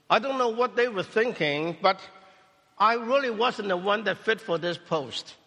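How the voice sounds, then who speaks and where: Cantonese-native pastor giving a sermon in short, paused phrases, slightly high voice, long reverb of a church hall. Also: male voice, expressive, bright, forceful tone. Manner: speaks in short phrases, pausing for interpretation. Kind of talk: sermon testimony with interpreter.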